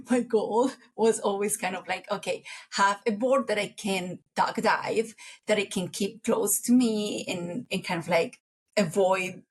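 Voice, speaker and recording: A woman, chatting as an interview guest in an unhurried way, often searching for words. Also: on the high side. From Colombia. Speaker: guest surfer. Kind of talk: casual interview chat.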